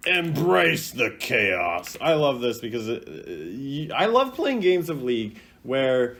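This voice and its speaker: Casting: male gaming creator, mid-range voice, very lively intonation, informal off-the-cuff commentary. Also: medium pitch, slow, creaky. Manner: animated, acts out imagined quotes in exaggerated voices. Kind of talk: casual chatty commentary on game voice lines.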